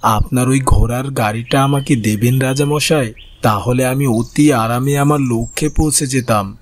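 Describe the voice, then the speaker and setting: A man's voice: medium pitch, normal speed, slight background noise, natural voice. A man voicing a solemn, vengeful old wandering holy beggar in a fairy-tale cartoon. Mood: pleading, respectful.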